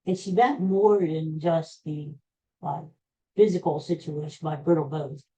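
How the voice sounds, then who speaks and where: An older man with an American accent tells personal stories casually, in a halting, rambling, self-deprecating way. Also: a little high, steady tone, dark tone. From Pennsylvania, USA. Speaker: podcast host. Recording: dull, muffled lo-fi audio.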